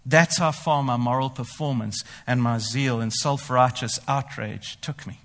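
A man's voice: medium pitch, fairly bright tone. A man preaching a sermon. He talks animatedly, often speaking in the first person as the apostle and boasting ironically. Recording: long hall reverb.

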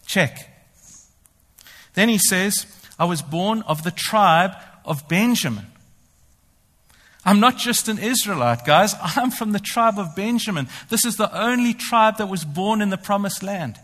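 A man's voice: sits high, expressive, measured pace, a bit bright. A man preaching a sermon. He talks animatedly, often speaking in the first person as the apostle and boasting ironically. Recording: long hall reverb.

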